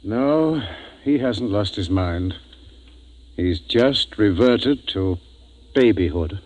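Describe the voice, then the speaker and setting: An actor playing an earnest, thoughtful ship's doctor who speaks deliberately and emphatically in a 1950s radio drama. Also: male voice, lowish voice, lively intonation, darkish tone, no echo. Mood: concerned, bewildered.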